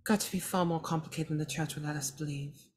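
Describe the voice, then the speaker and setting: A woman's voice: low-pitched, fairly even intonation, fast talker, a little mellow. A woman playing a tabletop roleplaying game on a video call, improvising in character on a close mic.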